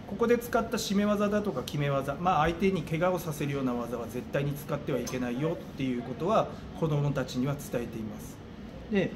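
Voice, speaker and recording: A middle-aged man giving interview answers as a gym coach, thinking aloud with hesitant pauses. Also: on the high side. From Japan. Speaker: gym owner and martial arts coach. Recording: clearly noisy room ambience.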